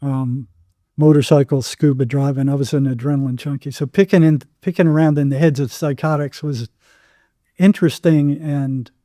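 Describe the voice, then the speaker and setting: A man's voice: medium pitch, steady tone, normal speed, warm tone, no echo, clean studio sound. An older American man telling anecdotes casually as an interview guest, acting out bits of dialogue as he goes.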